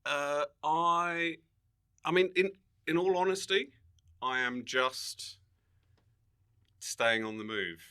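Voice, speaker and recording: Wry man with a bright voice. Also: fairly high pitch, takes it slowly, pauses often, smooth. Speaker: podcast guest, comedian. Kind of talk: casual comedy podcast chat.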